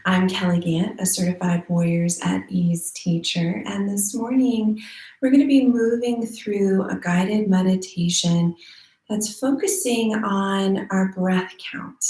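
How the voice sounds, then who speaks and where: Slow, mellow woman guiding a meditation. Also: on the low side. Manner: soothing, unhurried, with long pauses. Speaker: meditation teacher. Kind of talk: guided meditation. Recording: roomy echo.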